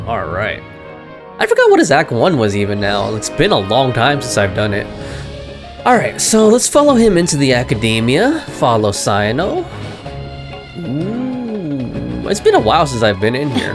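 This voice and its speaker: A woman's voice: very deep voice, vocal fry. A woman giving casual gameplay commentary with amused, reactive asides. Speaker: gaming streamer. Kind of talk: casual game playthrough commentary. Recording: game music behind.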